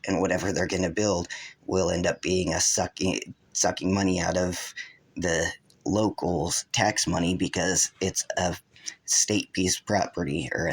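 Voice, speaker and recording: A man's voice: deep voice, breathy edge. A man hosting his own video channel, giving rambling, accusatory unscripted commentary. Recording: loud vehicle and background noise.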